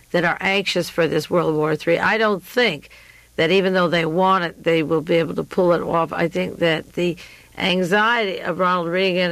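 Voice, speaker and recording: A woman hosting a radio show, delivering a rambling political monologue with frequent pauses. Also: lowish voice, a bit bright, no room sound.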